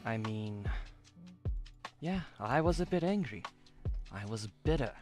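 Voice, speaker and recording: A woman's voice: a bass voice, animated, a little slow, darkish tone. A woman playing a bitter but loyal, ambitious young musician in a visual novel, emotive and sardonic, with music behind her. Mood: earnest, bitter.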